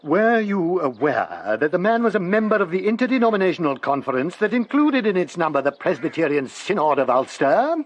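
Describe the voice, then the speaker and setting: An actor playing a brisk, imperious Victorian archdeacon with an English accent, pompous and formal, in a radio drama. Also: male voice, sits high, very animated, highs cut off. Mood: wry, scornful. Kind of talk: literary radio drama acting.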